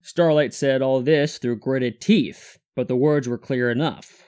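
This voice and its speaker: A man's voice: mid-range voice, a little slow, a little mellow. A man reading scripted third-person story narration as the narrator of a fan audio drama.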